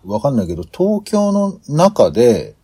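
A man's voice: medium pitch, huge pitch swings, measured pace, mellow, breathy edge. A man in a casual planning discussion as a podcast host, speaking hesitantly as he searches for his words.

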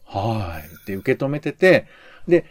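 A man's voice: medium pitch, sing-song, unhurried, dry recording, clean studio sound. A man co-hosting a podcast, giving conversational commentary on radio shows and thinking aloud as he goes. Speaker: podcast co-host.

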